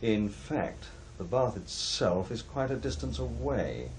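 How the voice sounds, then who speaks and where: An actor playing a formal, cautious and inquisitive paranormal investigator in a radio play, speaking with careful precision. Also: male voice, mid-range voice, animated, unhurried, a little mellow, a little hiss or hum. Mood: precise, cautious. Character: measured, inquisitive male paranormal investigator, a widower.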